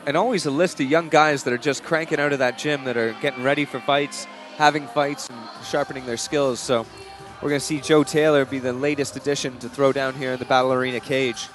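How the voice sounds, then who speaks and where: A British man co-commentating an MMA bout, measured and analytical in his play-by-play. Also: mid-range voice, steady tone, a bit bright, soft background music. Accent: British English. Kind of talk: live fight commentary.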